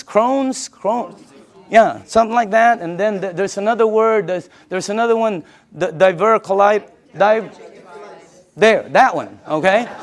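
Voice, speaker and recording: A woman from the Philippines giving an informal sales presentation, emphatic and repetitive, often putting rhetorical questions to her listeners. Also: mid-range voice.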